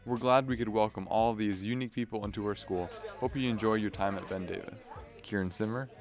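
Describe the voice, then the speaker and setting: A man's voice: fairly low pitch, steady tone, fairly quick, faint music behind. A teenage boy narrating a school news segment in a plain, read-aloud way. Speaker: student reporter.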